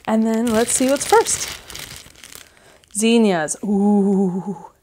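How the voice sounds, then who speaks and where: A woman in her thirties chatting casually at home, gushing with excitement as she unboxes and reads out seed packets. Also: mid-range voice, extremely slow, a little hiss or hum. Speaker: gardening vlogger. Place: living room at home, indoors.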